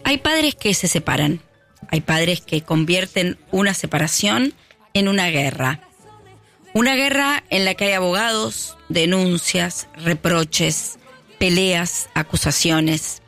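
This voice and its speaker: A man with an Argentine accent delivering a reflective radio monologue with measured, emphatic pauses. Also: high-pitched, expressive, gravelly, sharp tone. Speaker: radio host. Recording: radio broadcast with music beneath.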